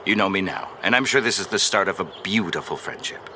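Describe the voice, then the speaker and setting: A man's voice: sits low, fast, sharp tone, a little hiss or hum. An actor playing a pushy, boastful property developer in an audio drama, with a salesman's overfamiliar manner. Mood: smarmy, persuasive. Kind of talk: audio fiction drama acting.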